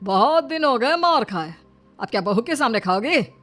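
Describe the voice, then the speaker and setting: A woman's voice: on the high side, animated, normal speed, a bit bright, faint music behind, voice pushed up. A woman voicing a harsh older father-in-law, scolding and threatening, in a cartoon story. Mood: menacing.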